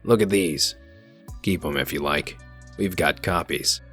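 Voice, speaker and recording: A man playing a gruff, cold, commanding wolf sheriff in human form, curt and threatening, in a comic dub. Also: sits low, a little slow, fairly bright tone, soft background music. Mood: cold, menacing. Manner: curt, threatening, coolly controlled.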